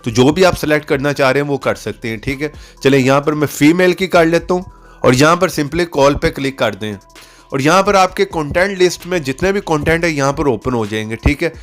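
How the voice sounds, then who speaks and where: Male tutorial host, fairly high pitch, instructing step by step in a casual way, light music bed. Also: expressive, fairly quick. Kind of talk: casual screen-recorded app tutorial voice-over. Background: background music.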